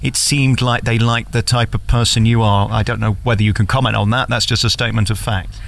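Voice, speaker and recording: A man interviewing as a radio host, flattering and cheeky in manner. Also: medium pitch, a little fast, creaky. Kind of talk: interview questions and commentary.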